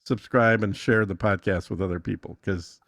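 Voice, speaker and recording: A man's voice: on the low side, fairly even intonation, normal speed, a bit bright, no room sound, studio quality. A man from the southern US talking casually and explaining things as a podcast guest.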